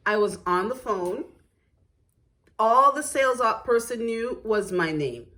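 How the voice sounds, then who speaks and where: A woman's voice: on the high side, lively intonation, unhurried, sharp tone. A woman with a Canadian accent, born in Jamaica, co-hosting in playful, chatty banter and defending herself. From Jamaica, raised in Toronto. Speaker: co-host.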